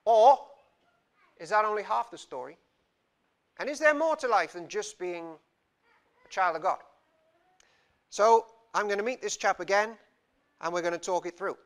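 A man's voice: high-pitched, animated, normal speed, long pauses. A young man from Wales giving a chatty, self-deprecating sermon as a visiting preacher in a small church, on a lapel mic. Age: young adult. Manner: gestures freely while talking. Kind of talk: informal sermon. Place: small church hall, indoors.

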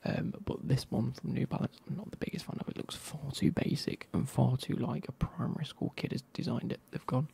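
A man, reviewing football kits in a soft, casual whisper with wry asides. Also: mid-range voice, steady tone, brisk, gravelly, few pauses, husky.

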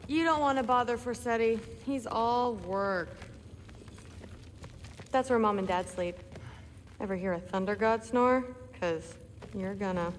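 A woman's voice: on the high side, unhurried, long pauses, big-room sound, slight background noise, natural voice. A young woman playing a friendly, eager, proud teenage girl warrior in training in a video game, talking in a casual, teasing way. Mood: friendly, confiding. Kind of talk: video game cutscene acting.

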